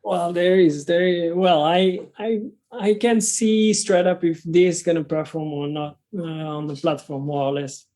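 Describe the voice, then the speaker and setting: A young man from France with a French accent, speaking English as a second language in a casual interview as a guest, often halting and restarting his sentences. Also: high-pitched, steady tone, dark tone. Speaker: guest, travel content creator.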